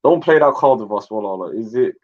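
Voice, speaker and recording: A man's voice: on the low side, lively intonation, fast, a little mellow. Pushy male host on a muffled line. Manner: pushy, teasing, uses Somali and Arabic words. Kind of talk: casual chat. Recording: dull lo-fi online call audio.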